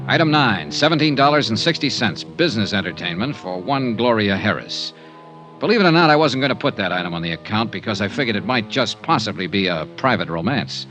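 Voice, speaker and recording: A hardboiled American insurance investigator in a golden age radio drama. His voice is low, and he speaks in a clipped, wry, tough manner. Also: lively intonation, fairly quick, bright, forceful tone, soft background music. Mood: dry narration. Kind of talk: 1950s radio detective acting, clipped hardboiled delivery.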